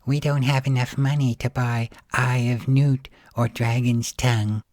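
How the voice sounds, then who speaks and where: Man putting on a high-pitched storybook demon keeper woman. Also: level tone, measured pace, a little mellow, no echo, studio quality, natural voice. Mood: worried, dramatic. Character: female demon keeper, fretful storybook character. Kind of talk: storybook character in bedtime audio.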